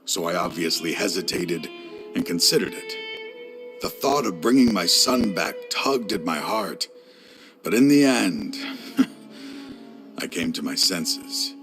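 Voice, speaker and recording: A deep-voiced grieving father. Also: sing-song, music in the background, exaggerated voice. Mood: resolute. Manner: low, solemn, measured. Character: grieving older scholar father, weary, resolute. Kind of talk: video game voice acting.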